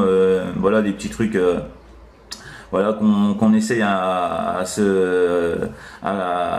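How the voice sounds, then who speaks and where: Male radio hobbyist, low register, meandering hesitant chat, strong room echo. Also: a little mellow. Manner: rambling, hesitant, repeats filler tags. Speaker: CB radio operator. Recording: echoey room.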